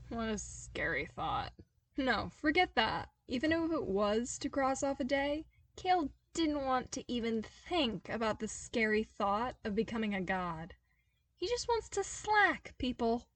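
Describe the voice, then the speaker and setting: A woman reading a fan fiction story aloud as a podfic, with an expressive delivery that bursts into exasperated comic outbursts. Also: on the high side, measured pace, dry recording. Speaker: podfic reader.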